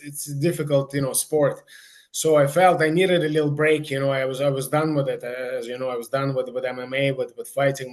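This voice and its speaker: A man giving a casual, rambling interview answer as a professional fighter, often repeating himself. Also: medium pitch. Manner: rambling, repeats "you know".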